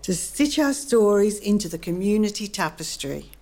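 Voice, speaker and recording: A woman's voice: medium pitch, expressive, moderate pace, darkish tone, faint music behind. A woman acting in a radio play as a practical, community-minded townswoman. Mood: poetic. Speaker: community theatre actor.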